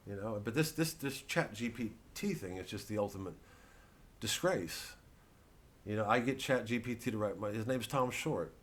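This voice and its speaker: A man's voice: on the low side, animated, moderate pace, a bit bright. A male comedian rambling through a blunt, indignant stand-up rant, swearing freely.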